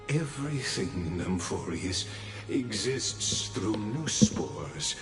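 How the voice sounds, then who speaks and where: An actor playing a theatrical, erudite storyteller in a game, speaking in a grand, measured, playful way. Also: male voice, lowish voice. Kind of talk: scripted game dialogue. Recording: echoing game audio under music.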